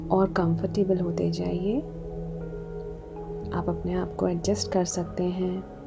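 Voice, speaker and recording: Very slow woman guiding meditation over music. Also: on the low side, level tone, vocal fry. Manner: soothing, unhurried, with long pauses. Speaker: guided meditation instructor. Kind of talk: guided meditation narration. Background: background music.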